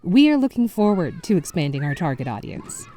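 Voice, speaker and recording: A woman playing an earnest kindergarten owner, delivering a measured press statement in corporate-speak. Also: fairly low pitch, sing-song, warm tone. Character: earnest female kindergarten owner giving a business pitch.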